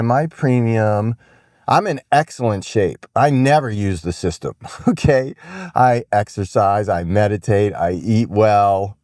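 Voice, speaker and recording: A man's voice: lowish voice, darkish tone, no room sound, studio quality. An American man hosting an opinion podcast, ranting casually in an exasperated, emphatic way and repeating phrases for effect.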